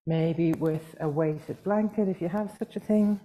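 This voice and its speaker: A woman leading a guided meditation as a yoga teacher, speaking gently and soothingly with long pauses, unhurried. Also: lowish voice, poor audio.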